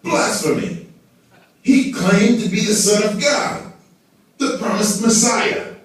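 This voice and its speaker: A man's voice: high voice, slow. A man playing an evasive, self-important religious council member puppet, speaking formally in scripted show dialogue. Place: indoor hall, behind a puppet stage. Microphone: far camera in the audience. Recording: echoey room, distant audience camera.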